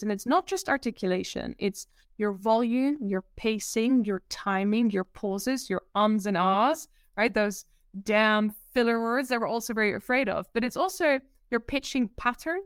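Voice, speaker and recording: A woman from the Netherlands with a Dutch accent, a presentation coach talking as a podcast guest, expressive and quick to giggle. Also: fairly high pitch, clean tone, dry recording, studio quality. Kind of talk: casual podcast interview.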